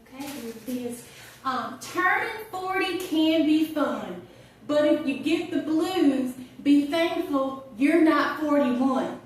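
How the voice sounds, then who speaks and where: A chatty, wry older woman, acted by a woman in a stage monologue, talks in a high, slow voice with conversational pauses. The room is very echoey around her. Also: far from the mic, exaggerated voice. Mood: playful, wry. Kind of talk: theatrical audition monologue.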